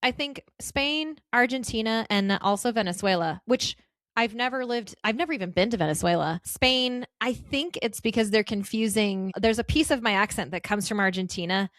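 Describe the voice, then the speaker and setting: A woman in her thirties from the United States, a guest chatting upbeat and quickly on a travel podcast. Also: a little high, fairly bright tone, no room sound, clean studio sound. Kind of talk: casual interview conversation.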